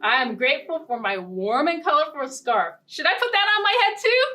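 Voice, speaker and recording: A woman hosting a children's storytime, reading and talking to small children in a playful, expressive way. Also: sits high, brisk. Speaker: children's librarian, storytime host. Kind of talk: children's storytime with read-aloud story and songs.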